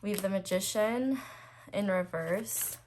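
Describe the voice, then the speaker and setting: A woman's voice: mid-range voice, measured pace, darkish tone, slight background noise. A woman with a stuffed-up nose hosting a casual tarot reading, chatty and rambling, with nervous laughs and fillers. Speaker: tarot reader, channel host.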